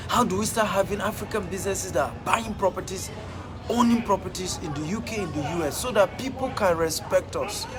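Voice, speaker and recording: A man with a Nigerian accent giving a motivational talk, emphatic and repeating his phrases for effect. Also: sits high. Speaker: motivational speaker.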